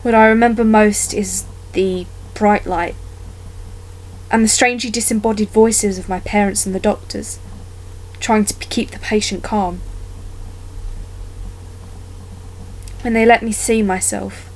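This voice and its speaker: A woman performing a dramatic monologue as a wounded young woman haunted by a childhood attack, telling it haltingly with deliberate pauses. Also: medium pitch, fairly even intonation, a little hiss or hum, close to an everyday voice, rough recording. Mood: haunted, afraid.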